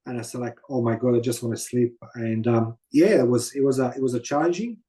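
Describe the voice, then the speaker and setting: A man in his fifties from Croatia with a Croatian accent, telling his story as an interview guest in halting non-native English full of fillers. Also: mid-range voice, dark tone. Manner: halting, many fillers and "you know what I mean".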